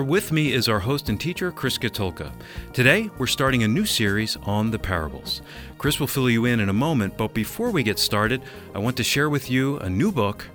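A man's voice: medium pitch, a bit bright. An American man reading a scripted radio program introduction and promo as announcer, on a studio microphone. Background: music bed.

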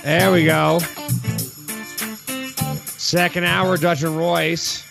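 A man's voice: fairly high pitch, little pitch movement, very slow, gravelly, over music. A man co-hosting a casual live talk show, speaking in an opinionated, matter-of-fact way.

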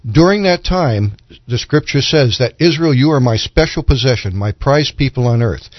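Mid-pitched man teaching, dry dull sound. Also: expressive, normal speed, no room sound. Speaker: guest Bible commentator. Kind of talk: explanatory Bible teaching in conversation. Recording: dull, lo-fi broadcast audio.

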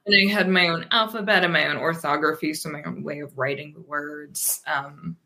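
A woman's voice: sits low, steady tone, normal speed, vocal fry, pushed and bright. Thoughtful rambling woman in her thirties. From Pennsylvania, USA. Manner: thoughtful, rambling, frequent ums and restarts. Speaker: guest. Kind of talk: casual interview conversation.

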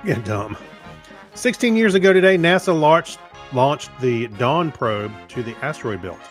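A man with a medium-pitched voice co-hosts a casual podcast. He reads short items aloud and joins in the joking in an easygoing way, with faint music in the mix. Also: big pitch swings, measured pace. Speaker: podcast co-host. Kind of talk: casual banter mixed with reading history items.

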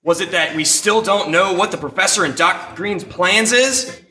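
A man's voice: high voice, moderate pace, sharp tone. A man playing a melodramatic, playful narrator announcing an old-time radio serial parody. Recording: roomy live stage, faint music.

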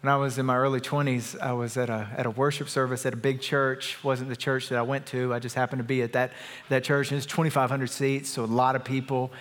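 A man pastor preaches in a relaxed, talky way, repeating words and slipping in light jokes. His voice sits at a middle pitch, and a long reverb from the big hall trails behind each phrase. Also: fast. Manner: warm-up, joking, repeats words. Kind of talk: sermon.

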